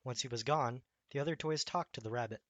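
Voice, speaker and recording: A man reading a children's bedtime story aloud as an expressive storyteller. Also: medium pitch, brisk, no room sound, lo-fi sound.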